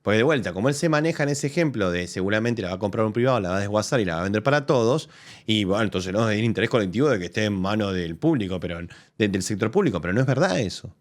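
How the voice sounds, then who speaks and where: A man's voice: on the low side, racing. A man with an Argentine accent hosting a live show, arguing his points in casual commentary with frequent restarts. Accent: Argentine Spanish. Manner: animated, argumentative, thinks aloud with restarts. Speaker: political commentator host. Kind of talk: casual commentary reacting to a press conference.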